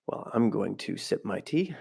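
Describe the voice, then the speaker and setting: A man's voice: on the low side, sing-song, moderate pace, vocal fry, darkish tone, breathy edge, in a whisper. A man hosting a podcast with a stuffy voice from a cold, reading from a book at home and breaking off into casual side remarks. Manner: reads aloud, then breaks off into loose asides. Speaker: podcast host. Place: home room, not a studio.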